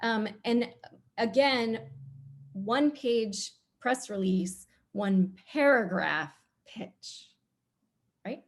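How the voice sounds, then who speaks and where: A middle-aged woman TV producer speaking casually as a panelist, with blunt opinions and animated, comic exaggeration. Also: fairly high pitch, drawn-out words.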